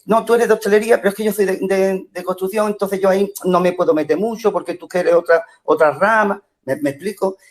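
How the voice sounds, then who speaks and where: Animated Spanish man telling a union story. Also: high-pitched. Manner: animated storytelling with repeated restarts. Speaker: union member caller. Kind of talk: casual call-in comment in an audio space.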